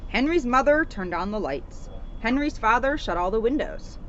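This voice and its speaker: A woman reading a children's storybook aloud in an animated, expressive way, with emphasis on the sound words. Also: a little high, moderate pace. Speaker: storybook reader, police officer. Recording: noisy, dull outdoor recording.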